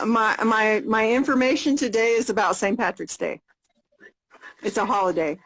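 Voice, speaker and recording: An American woman in her fifties hosting a casual live video chat, upbeat and repeating her questions slowly and plainly. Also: a little high, fairly bright tone, low-quality recording. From United States. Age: 50s.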